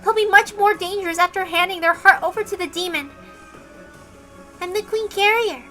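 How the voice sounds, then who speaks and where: Small determined girl, played by a woman, extremely high bright voice, earnest, music behind. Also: normal speed. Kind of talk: dramatic visual novel read-aloud.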